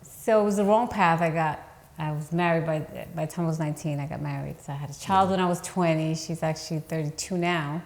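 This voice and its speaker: A woman in her forties, originally from the Dominican Republic and raised in New York, answering interview questions in an earnest, measured way with frequent "um"s. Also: sits low. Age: 40s. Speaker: guest, real estate attorney. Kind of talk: casual interview.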